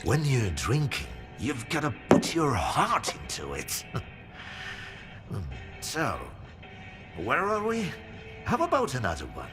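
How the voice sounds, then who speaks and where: Tipsy philosophizing scientist, sardonic, game cutscene, hugely varied intonation, prominent background music. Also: male voice, mid-range voice, huge pitch swings, slow, long pauses, exaggerated voice. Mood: musing, teasing. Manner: rambling, sardonic, theatrical toasting. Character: boozy talkative male scientist, jaded and philosophizing over vodka. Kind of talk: video game cutscene acting.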